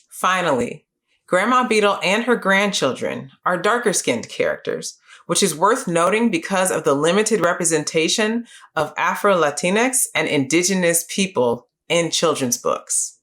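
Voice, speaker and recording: A middle-aged woman hosting a book series, giving scripted educational narration in a clear, measured, explanatory way. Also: fairly low pitch, a bit bright. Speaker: host of a children's book review series.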